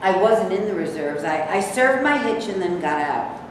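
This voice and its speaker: A woman's voice: on the low side, lively intonation, moderate pace, close to an everyday voice. Woman acting a crusty combative veteran, echoey hall. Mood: scornful. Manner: blunt, combative. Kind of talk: staged reading. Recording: echoey hall, faint noise.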